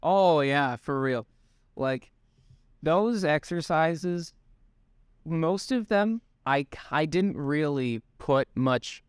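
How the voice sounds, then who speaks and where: A young man co-hosting a casual podcast, animated and swearing freely, often restarting sentences. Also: fairly high pitch, drawn-out words, smooth, no echo, clean studio sound. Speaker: podcast co-host. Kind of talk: casual chat.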